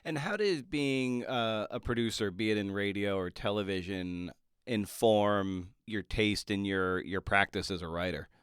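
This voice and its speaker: A male podcast host with a fairly low, very clean and resonant voice in a dry, close recording. He talks casually and informally, breaking off and restarting his thoughts as he goes. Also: unhurried, fairly bright tone, clear, resonant tone, no echo. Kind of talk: casual conversational interview.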